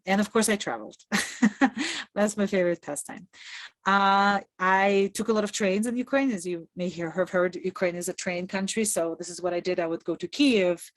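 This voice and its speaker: A woman's voice: lowish voice, no echo. Upbeat woman presenting over video call. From Kazakhstan. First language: Russian. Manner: conversational, upbeat, laughs often. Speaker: Peace Corps presenter and returned volunteer. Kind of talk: informational presentation.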